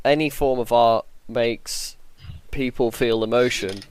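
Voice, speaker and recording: A man's voice: mid-range voice, steady tone, unhurried, a crowd in the background. A man speaking casually and earnestly as a panelist on a podcast, in a reflective way.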